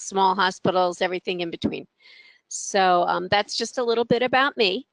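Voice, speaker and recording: Gravelly-voiced middle-aged woman presenting a webinar. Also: mid-range voice, a bit bright, no room sound, poor audio. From New York. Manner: hesitant, many ums and restarts. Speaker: academic director.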